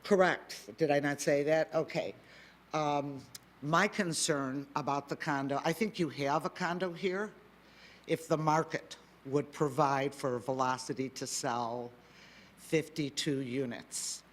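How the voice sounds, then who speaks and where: A man speaking at a public planning meeting as a developer, halting, with many fillers and restarts. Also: fairly high pitch, fairly even intonation.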